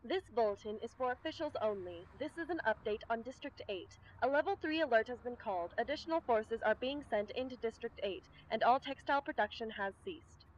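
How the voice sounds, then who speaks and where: A woman's voice: a little high, lively intonation, a little fast, hardly any pauses, close to an everyday voice. Dull lo-fi bulletin, woman acting a news anchor. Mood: urgent, formal. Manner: formal. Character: brisk, official female news anchor. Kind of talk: news bulletin in radio drama. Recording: dull lo-fi broadcast, faint noise.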